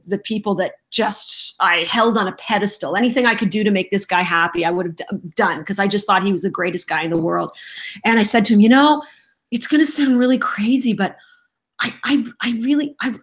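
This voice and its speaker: A middle-aged woman, a voice actress, chatting as a podcast guest about her start, telling stories in a lively, self-mocking way, just over a cold. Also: mid-range voice. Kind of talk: casual interview chat.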